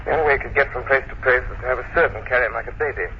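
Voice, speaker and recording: Actor as a brisk, suave gentleman detective. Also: mid-range voice, natural voice. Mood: calm. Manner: urbane, composed. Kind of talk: 1940s radio acting. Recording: dull, hissy old radio recording.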